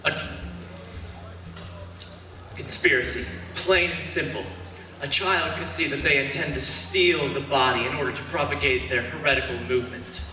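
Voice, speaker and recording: A man's voice: mid-range voice, sharp tone. A man acting an indignant, scornful Pharisee in a church stage drama, declaiming with emphatic contempt. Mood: scornful, defiant. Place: church hall stage performance. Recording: echoey hall, distant mic, noisy.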